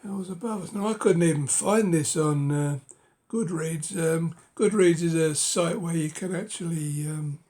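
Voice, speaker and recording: A middle-aged man with a cold, speaking hoarsely and haltingly in a casual show-and-tell of his books, often dropping to a whisper. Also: on the high side, darkish tone. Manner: halting, many ums and uhs, drops to a whisper. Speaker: book collector vlogger.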